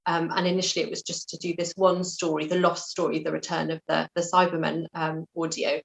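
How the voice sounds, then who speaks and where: A woman with a British accent speaks in a slightly low voice. She talks conversationally as an interview guest, thinking her answers through and phrasing them modestly, with gentle hedging. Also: little pitch movement, brisk, creaky, rough recording.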